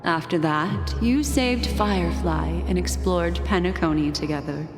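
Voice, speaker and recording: An actress playing a calm, mysterious storyteller in a game cutscene, speaking soothingly and deliberately, straight to the listener. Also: female voice, fairly low pitch, natural voice. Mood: measured storytelling. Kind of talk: scripted game cutscene narration. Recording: echoey reverb with music behind. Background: music.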